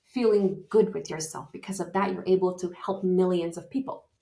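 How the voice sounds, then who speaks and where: A woman's voice: lowish voice, moderate pace, creaky, mellow. Upbeat encouraging woman in her forties. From Romania. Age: 40s. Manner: enthusiastic, encouraging. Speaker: guest. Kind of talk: motivational interview talk.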